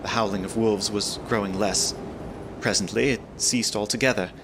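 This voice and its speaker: A man's voice: fairly low pitch, fairly even intonation, moderate pace, background noise, lightly acted. An actor playing an earnest, apprehensive young English clerk narrating his journal in a gothic audio drama.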